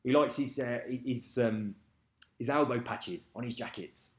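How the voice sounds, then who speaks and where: A man's voice: medium pitch, a little slow. A man rambling casually to camera, swearing freely and losing track of names. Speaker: vlogger. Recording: echoey room.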